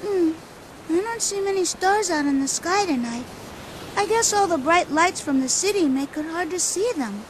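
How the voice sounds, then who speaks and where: A woman voicing a restless, excitable young child in a video game cutscene. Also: very high voice, normal speed, noisy background. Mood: musing.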